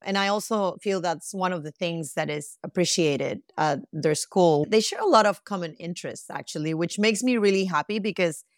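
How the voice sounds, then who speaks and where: A middle-aged woman from Costa Rica speaks as an interview guest in a slightly low register. The sound is dry and close, and she answers in a conversational way. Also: moderate pace, hardly any pauses, dry recording.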